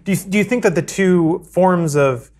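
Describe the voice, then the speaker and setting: A man on a gaming podcast with a slightly higher voice. He asks probing, analytical questions in a relaxed, conversational way. His tone stays casual throughout. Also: normal speed. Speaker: podcast co-host. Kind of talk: casual chat.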